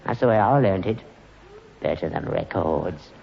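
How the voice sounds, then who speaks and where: A man's voice: sits low, animated, normal speed, creaky, exaggerated voice. An actor playing a crafty, cheeky old London father, sly and cantankerous, in British sitcom style. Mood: needling, boastful. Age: older. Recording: muffled, noisy archive recording, echoey hall.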